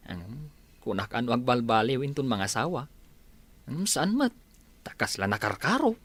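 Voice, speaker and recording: A woman's voice: very deep voice, big pitch swings, moderate pace, slightly rough, full character voice. A Filipino woman voicing a concerned, gossipy young village husband in a radio drama. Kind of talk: Ilocano radio drama acting.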